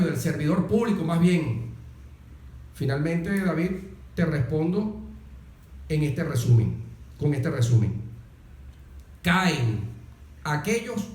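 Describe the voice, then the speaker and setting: A man with a Venezuelan accent discussing politics earnestly as a guest, emphatic and deliberate, building rhetorical series by repeating phrases. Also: sits high, darkish tone. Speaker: interview guest, political commentator. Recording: roomy, echoing sound.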